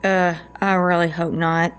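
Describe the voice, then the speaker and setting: A woman playing a guarded, sarcastic female agent with a creaky voice and a folksy, teasing manner in an audio drama. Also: fairly low pitch, flat delivery, measured pace, close to an everyday voice. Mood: wry, teasing.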